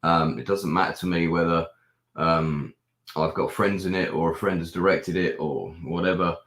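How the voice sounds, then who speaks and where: A man with a croaky, ill-sounding voice, chatting casually through a film review with many pauses and fillers. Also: low-pitched, fairly even intonation, a bit bright. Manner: rambling with many ums and restarts. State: hoarse, ill with a sore throat. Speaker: film reviewer and channel host. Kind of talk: casual live-stream review chat. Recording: echoey room.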